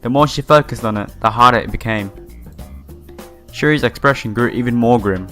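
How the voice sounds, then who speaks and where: A man reading a visual novel aloud and commenting casually as a let's player, halting and informal. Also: medium pitch, a bit bright. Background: game music.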